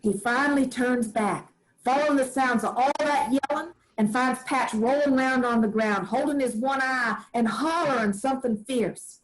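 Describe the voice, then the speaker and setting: A woman's voice: sits high, moderate pace, natural voice, low-quality recording. A woman playing a folksy old grandmother who tells family stories in a drawling, homespun way. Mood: fond. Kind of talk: staged play reading.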